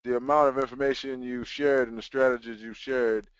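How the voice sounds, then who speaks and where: A man's voice: mid-range voice, little pitch movement, brisk, smooth, no echo. Casual man hosting a webinar. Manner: casual, uses fillers. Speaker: webinar host. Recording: dull lo-fi webinar audio.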